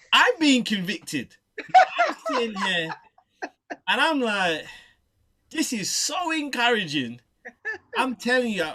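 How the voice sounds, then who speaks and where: A man from Southeast London with a London accent, hosting a faith interview in a playful, laughing, animated way. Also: high voice, slight background noise. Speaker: host. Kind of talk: interview host, casual chat.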